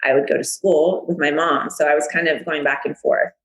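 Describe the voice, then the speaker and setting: A woman's voice: low-pitched, fairly even intonation, brisk. Bubbly woman in an echoey room. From United States. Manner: bubbly, chatty, laughs often. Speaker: guest. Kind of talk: casual interview.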